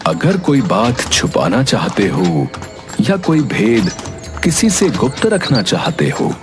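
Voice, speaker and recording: A wandering holy man from a cartoon fable, voiced by a male actor. He gives advice slowly and solemnly, with big swings in pitch, over clear background music. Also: sing-song. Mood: solemn, canny. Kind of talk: acted cartoon moral-story dialogue.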